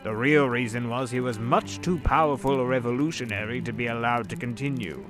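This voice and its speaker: A man voicing the Devil as a sly, smooth-talking businessman in an audio drama, drawling and knowing. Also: medium pitch, fairly quick, natural voice. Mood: storytelling. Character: the Devil posing as suave rich businessman, middle-aged man. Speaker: voice actor. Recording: with background music.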